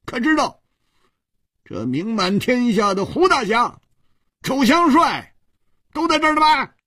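A man's voice: very high-pitched, expressive, normal speed, sharp tone, no room sound, studio quality, voice pushed up, exaggerated voice. A man voicing a cowardly, blustering male escort chief who stammers over his words.

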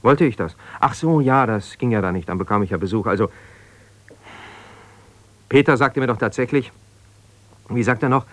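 A man's voice: mid-range voice, expressive, normal speed, long pauses, dry recording, lightly acted. Man acting a hesitant, defensive, wounded husband. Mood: indignant, defensive. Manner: hesitant, searching, self-justifying, conversational. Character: defensive, wounded husband pouring out his troubles to a confidante. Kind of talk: naturalistic 1970s German radio drama monologue.

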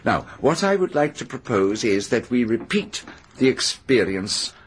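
An actor playing an earnest, fussy older English gentleman with a polite, precise and insistent manner in a radio mystery. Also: mid-range voice, very animated, a little slow. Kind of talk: radio mystery drama acting. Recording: faint background noise.

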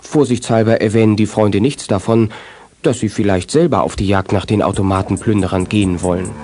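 A man's voice: on the low side, fairly even intonation, brisk, lightly acted. A man narrating a children's detective radio play as a composed storyteller, with scripted delivery. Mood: measured.

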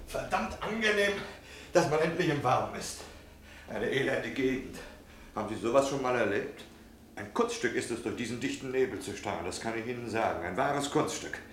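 A man's voice: mid-range voice, animated, normal speed, fairly bright tone, roomy sound, slight background noise, natural voice. An actor playing a brash, chatty travelling salesman in a German radio drama, pushy and jovial with a grumbling streak. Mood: jovial, nosy.

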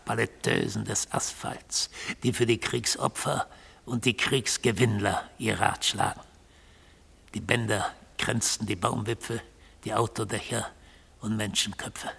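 An actor playing a brooding, defiant defendant who tells a fateful story in a radio play, hoarse and deliberate, with poetic insistence. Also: male voice, fairly low pitch, fairly bright tone, heavily acted. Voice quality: hoarse, gravelly. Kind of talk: literary German radio play, monologue narration.

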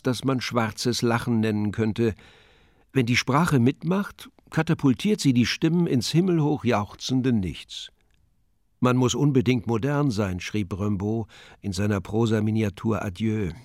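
A man reading a scripted, literary introduction to a radio play in a measured manner, close to the microphone. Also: mid-range voice, darkish tone, dry recording. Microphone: close studio microphone.

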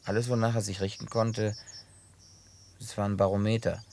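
Low-voiced grumbling drifter. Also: male voice, little pitch movement, normal speed, creaky, a little mellow. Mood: wry, reminiscing. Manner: colloquial, grumbling storyteller. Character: gruff, hot-headed but harmless male drifter, former bar owner. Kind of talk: German radio play acting.

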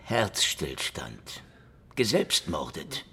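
An actor playing a cynical, menacing, foul-mouthed investigator in a noir German radio drama, talking in a mocking, wordy way. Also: male voice, sits low, wildly swooping pitch, drawn-out words, sharp tone, breathy edge, exaggerated voice. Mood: grim, resigned.

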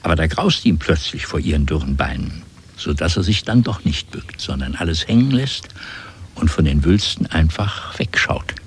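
A man narrating a literary radio play in an expressive, dramatic storytelling manner. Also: a bass voice, moderate pace, a little hiss or hum.

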